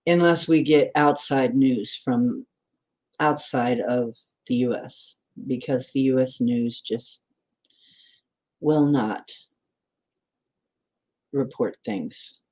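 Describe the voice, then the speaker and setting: A woman's voice: rumbling low, takes it slowly, long pauses, darkish tone, breathy edge. A woman in her sixties chatting in a meandering way on a livestream, answering viewer comments as she goes. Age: 60s. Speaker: livestream host. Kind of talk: rambling livestream chat.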